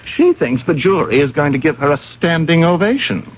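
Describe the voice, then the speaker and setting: A man playing a worldly, argumentative fellow in an old-time radio drama, gravelly, emphatic and persuasive, with a sardonic edge. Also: a little high, sing-song, moderate pace. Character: worldly, argumentative man reasoning with police captain. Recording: noisy, dull vintage radio recording.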